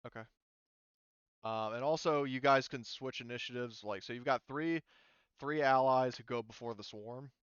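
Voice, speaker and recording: A man acting as game master, casually refereeing a tabletop game and thinking aloud in a halting way. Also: mid-range voice, a bit bright, clear, resonant tone, dry recording, muffled recording.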